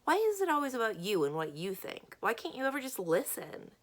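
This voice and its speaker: A woman's voice: on the low side, normal speed, creaky. Woman as blunt, prickly teenage boy. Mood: hurt, angry. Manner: blunt, sarcastic, forceful.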